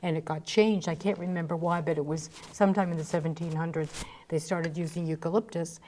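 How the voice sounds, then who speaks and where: Female host, slightly low mellow voice, eager storyteller and questioner. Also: steady tone, brisk, a little hiss or hum. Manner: chatty, eager, telling anecdotes and asking questions. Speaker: radio show host. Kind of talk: casual interview chat.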